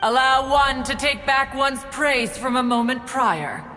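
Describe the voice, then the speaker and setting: An actress playing a proud, ancient adeptus in a video game, speaking in a stately, formal, imperious way. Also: female voice, high voice, measured pace, pushed and bright. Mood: haughty. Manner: stately, imperious, formal, calling herself "one". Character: haughty, proud ancient female adeptus, archaic formal speech. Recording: long reverb, music behind.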